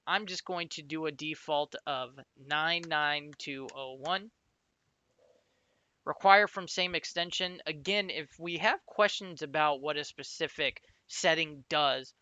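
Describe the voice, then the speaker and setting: A man's voice: a little high, bright, forceful tone, no echo. A man giving an informal, explanatory step-by-step screencast tutorial in a conversational way, as an instructor.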